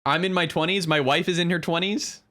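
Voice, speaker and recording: A man's voice: fairly high pitch, fairly even intonation, fairly quick, pushed and bright. A man in his twenties who jokes and teases in playful interjections. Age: 20s. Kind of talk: joking banter.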